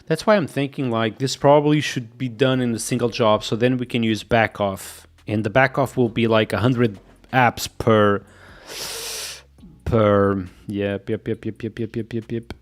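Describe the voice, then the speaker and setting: A man's voice: medium pitch, fairly even intonation, unhurried. Portuguese-accented man thinking aloud. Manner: mutters to himself, repeats "yep" as he reads. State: sick. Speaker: live-coding streamer. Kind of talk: thinking aloud while coding, chatting with viewers.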